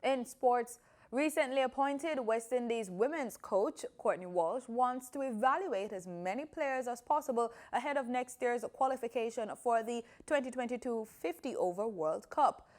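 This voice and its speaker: Female news anchor, Jamaican accent, fairly high voice, formal scripted delivery. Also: lively intonation, normal speed, a little mellow.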